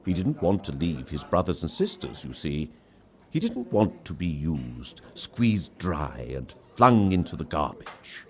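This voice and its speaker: A man reading a story aloud in an expressive, theatrical storybook style. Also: low voice, a little slow. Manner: theatrical, dramatic delivery. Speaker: story reader.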